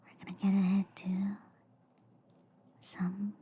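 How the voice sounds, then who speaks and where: A woman voicing a gentle, silly alien girl medic in a breathy, hushed whisper for an ASMR roleplay. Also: medium pitch, completely flat delivery. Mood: playfully reassuring. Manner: slow, hushed, soothing, repeats phrases softly.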